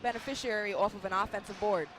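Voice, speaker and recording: Female basketball analyst, live broadcast commentary from courtside, game noise clearly audible behind her. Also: medium pitch, fast, fairly bright tone. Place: courtside in an arena. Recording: noisy courtside arena sound. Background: basketball game noise, bouncing balls.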